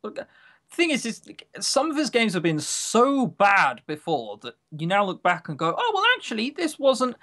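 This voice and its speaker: A man's voice: sits high, huge pitch swings, normal speed, pushed and bright. A man co-hosting a podcast in casual, opinionated chat, grumbling, sarcastic and given to swearing. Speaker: podcast co-host.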